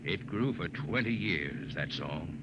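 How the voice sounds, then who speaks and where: A man's voice: sits low, animated, slow pace, muffled recording, very noisy. A man narrating a 1950s radio drama as a spaceship's chief jetman, earnest in tone. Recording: old radio broadcast with loud music bed.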